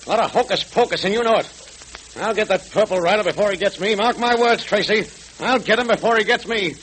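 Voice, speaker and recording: An actor in a vintage radio serial playing a blustering, scheming gang boss with tough, emphatic gangster talk. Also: male voice, sits high, fast, a bit bright. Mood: defiant anger. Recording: crackly old radio recording.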